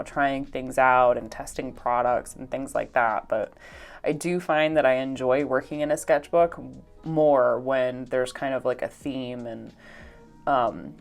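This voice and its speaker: A woman's voice: a bass voice, a little mellow. A young woman with a congested, stuffed-up voice, chatting casually in a rambling way with many fillers and little laughs. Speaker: art vlogger. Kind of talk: casual voice-over while painting.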